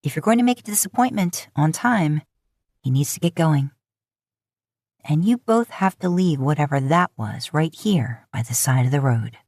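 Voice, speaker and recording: A woman's voice: low-pitched, lively intonation, normal speed, a little mellow, no room sound, clean studio sound. A woman reading scripted fiction aloud as a podfic narrator.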